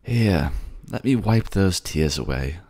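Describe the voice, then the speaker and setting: A man's voice: low voice, expressive, slow pace, a little mellow, voice pushed up, exaggerated voice. A man voicing a protective, affectionate prince in an audio romance drama, with theatrical, courtly phrasing and a put-on accent. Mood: tender, soothing. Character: protective, tender prince soothing his beloved, scolding rivals.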